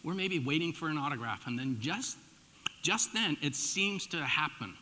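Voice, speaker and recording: A middle-aged American man preaching as a pastor, telling personal stories in an informal, animated, conversational way with emphatic swings and dropped asides. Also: on the high side, normal speed. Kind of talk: conversational sermon with personal storytelling. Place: large church room, addressing a congregation. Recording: echoey hall with long reverb.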